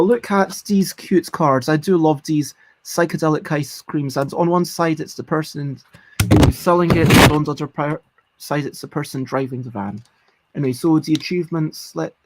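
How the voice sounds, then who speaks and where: A woman's voice: deep voice, a little mellow, slight background noise. A woman hosting a casual solo livestream, chatting about board games in a rambling way with lots of ums and self-corrections. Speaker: livestream host.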